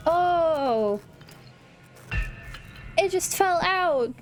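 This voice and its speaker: A woman's voice: very high-pitched, lively intonation, extremely slow, soft background music. A woman hosting a casual let's play, commenting on the game and reading its dialogue aloud. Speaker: gaming channel host.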